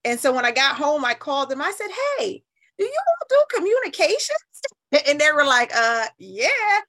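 A woman in her fifties with an American accent, telling stories conversationally in an animated, emphatic, colloquial way as an interview guest. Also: high voice, normal speed. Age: 50s. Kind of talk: conversational storytelling.

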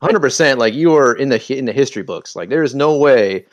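Lively, playful man with teasing bounce. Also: mid-range voice, a little fast, dry recording, highs cut off. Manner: playful, teasing, laughs often, slangy. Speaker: podcast co-host and editor. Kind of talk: casual chat.

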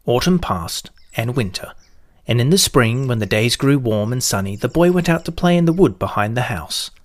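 A man reading a children's storybook aloud in a calm, measured, gentle way. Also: medium pitch. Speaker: narrator. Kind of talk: scripted storybook narration.

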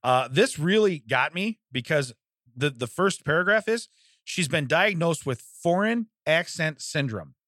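A man's voice: fairly high pitch, expressive, measured pace, fairly bright tone, no echo, clean studio sound. A man in his forties co-hosting a comedy podcast, chatting casually and reading out odd news with rambling, jokey asides. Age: 40s.

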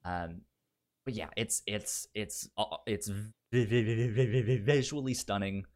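A man's voice: fairly low pitch, unhurried, darkish tone. A man chatting casually as a podcast host giving recommendations, stuttering and repeating syllables and words as he goes. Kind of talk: casual film chat.

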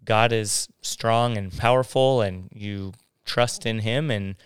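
A man telling his faith story in a casual interview, halting and restarting his sentences often. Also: lowish voice, steady tone, smooth, no room sound, studio quality. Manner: halting, many restarts and fillers.

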